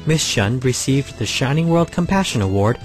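Calm male documentary narrator over music. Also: medium pitch, moderate pace. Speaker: program narrator. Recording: faint music underneath.

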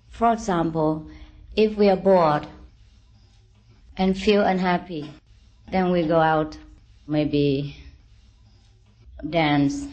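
Slow-speaking woman giving a spiritual discourse. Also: fairly low pitch. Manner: deliberate, halting phrasing with gentle reassurance. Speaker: spiritual teacher.

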